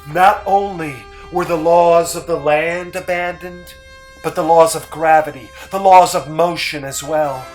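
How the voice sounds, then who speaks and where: Slow, theatrical male story narrator. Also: a little high, fairly even intonation, poor audio. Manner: theatrical, measured, emphatic. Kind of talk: dramatic scripted story reading. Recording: music bed under the voice.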